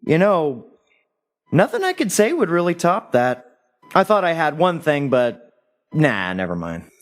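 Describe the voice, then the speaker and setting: An actor in an audio play playing a calm, tender, wistful husband who is a dead spy, speaking in a reflective, hesitant way. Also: male voice, fairly high pitch, measured pace. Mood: tender, guarded. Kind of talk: audio drama dialogue.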